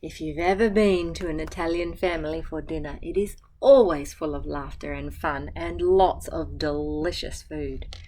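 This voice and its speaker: An Australian woman, a teacher, reading a picture book aloud to children and chatting gently between pages. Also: low voice, steady tone, normal speed, slight background noise, low-quality recording. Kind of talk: storytime read-aloud with asides to children.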